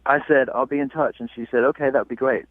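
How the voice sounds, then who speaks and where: A man's voice: mid-range voice, fairly even intonation, fast talker, no echo. A man with an English accent, calling in to a radio show and rambling self-consciously with many restarts. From England. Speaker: caller. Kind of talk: phone call to a radio show. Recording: muffled phone line.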